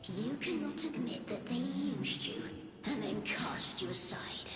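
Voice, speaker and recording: A cold, manipulative video game villainess. She speaks very slowly and deliberately in a commanding, condescending way, her voice echoing over heavy music. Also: sits high, expressive, a bit bright. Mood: taunting. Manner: slow, deliberate, imperious delivery. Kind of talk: dramatic video game voice acting.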